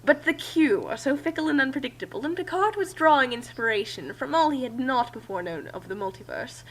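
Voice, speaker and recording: A woman's voice: sits high, lively intonation, brisk, rough recording. A woman reading a story aloud as amateur dramatic narration. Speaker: narrator and co-reader.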